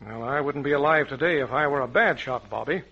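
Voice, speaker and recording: Forceful, husky radio safari leader. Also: male voice, medium pitch. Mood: fatherly, cautioning. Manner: brisk, authoritative, fatherly. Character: commanding, fatherly male African safari adventurer. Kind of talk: 1940s radio adventure acting. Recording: dull lo-fi vintage radio recording.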